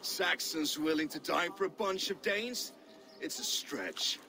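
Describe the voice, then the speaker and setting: An actor playing a fiery, brooding Danish Viking warrior in a video game cutscene. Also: male voice, on the high side, measured pace, vocal fry. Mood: doubtful.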